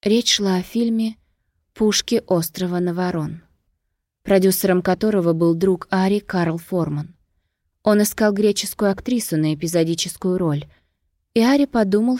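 A woman's voice: fairly low pitch, a little mellow, dry recording, studio quality. A woman reading a novel as a scripted audiobook narration.

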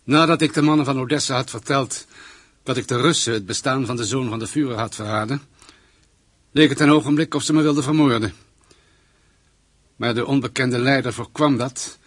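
An actor playing a wary former officer with a secret past, husky, in a narrated radio drama. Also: male voice, medium pitch, moderate pace, dry recording, close to an everyday voice. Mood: sombre narrating. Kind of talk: radio drama acting and first-person narration.

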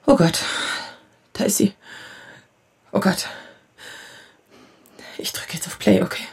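A woman's voice: mid-range voice, steady tone, slow pace, creaky, darkish tone, husky, dry recording, in a whisper, heavily acted. An actress playing an anxious, lovelorn woman in her thirties in a naturalistic radio drama, speaking in agitated, self-doubting phrases. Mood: fearful, hushed. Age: 30s.

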